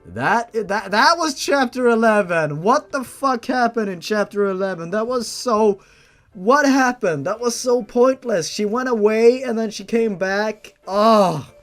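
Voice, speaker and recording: A man's voice: high-pitched, animated, a little slow, fairly bright tone, faint music behind. A man doing a dramatic reading of fan fiction, breaking off for exasperated, sarcastic asides. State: feeling unwell. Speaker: fan fiction reader and host.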